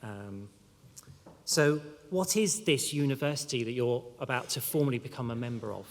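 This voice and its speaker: A man addressing graduates at a ceremony, speaking in a measured way with pauses and the odd filler. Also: medium pitch. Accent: non-local, not Mancunian. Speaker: university official giving a graduation address. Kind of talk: formal ceremony speech. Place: large hall. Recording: long reverb of a large hall.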